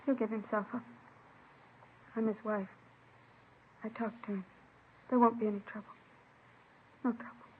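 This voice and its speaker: A desperate, overwrought wife played by a woman pleads in old radio melodrama. Her voice is high, on a muffled vintage recording with faint noise. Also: flat delivery, normal speed, long pauses, lightly acted. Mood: anxious, pleading. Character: pleading, distraught wife of a wanted criminal. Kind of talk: melodramatic 1950s radio acting.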